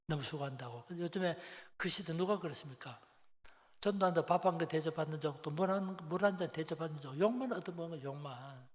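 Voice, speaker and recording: A man in his seventies delivers a sermon with a Busan Gyeongsang accent, his voice a little higher than most men's. He tells stories colloquially, puts questions to his listeners and repeats key phrases. Long hall reverb surrounds his speech. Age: 70s. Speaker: preacher. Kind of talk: Sunday afternoon sermon, conversational storytelling.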